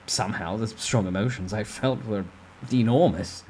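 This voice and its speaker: A man reading a novel aloud as a storyteller, with expressive, theatrical emphasis in a dramatic first-person narration. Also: lowish voice, normal speed, a little hiss or hum.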